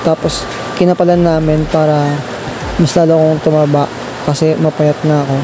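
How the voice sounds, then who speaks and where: A woman talks casually and haltingly through her outfit details. Her voice is very low and flat. A clear hiss of air noise runs behind her. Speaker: model contestant. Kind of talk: casual voice-over about an outfit.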